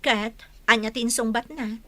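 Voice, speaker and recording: A woman playing a watchful, gently nagging wife and mother in an Ilocano radio drama, questioning and reproachful. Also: fairly high pitch, level tone, unhurried, dry recording.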